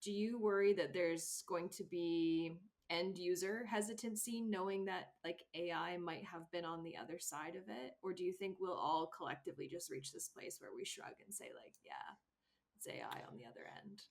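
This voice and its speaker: A woman's voice: on the low side, normal speed, vocal fry. A woman hosting a podcast interview in a casual, conversational way.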